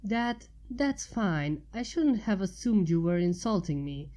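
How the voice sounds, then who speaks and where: Woman acting young female unicorn, storybook character, curious, gently concerned, slightly proud, soft-edged tone. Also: medium pitch, very animated, a little slow. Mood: curious, friendly.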